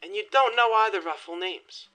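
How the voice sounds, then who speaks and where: An actor playing a cool, menacing 1920s gangster who threatens in a low, measured way, in a horror audio drama. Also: male voice, bright, forceful tone, low-quality recording.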